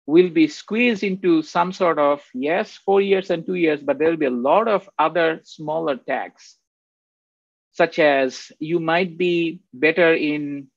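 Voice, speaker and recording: Man, 50s, from Bangladesh, guest lecturer, a bit high-voiced, dry close sound. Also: dry recording. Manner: rambling, conversational, frequent "right?" tags. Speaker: guest speaker. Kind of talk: faculty development lecture. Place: home office. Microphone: video call. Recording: dull, dry video-call audio.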